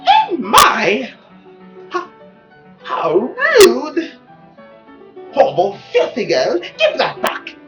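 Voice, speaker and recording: A woman's voice: high-pitched, wildly swooping pitch, extremely slow, long pauses, dull audio, music in the background, voice pushed up, exaggerated voice. A woman voicing a haughty, scornful society lady gossiping in an affected, mocking way in a visual novel. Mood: indignant.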